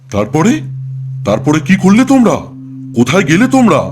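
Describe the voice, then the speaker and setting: Man playing a testy, high-voiced old village father. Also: sing-song, fairly quick, very noisy. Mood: puzzled, alarmed. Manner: scolding, exasperated, fussy. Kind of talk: Bengali folk-tale cartoon acting.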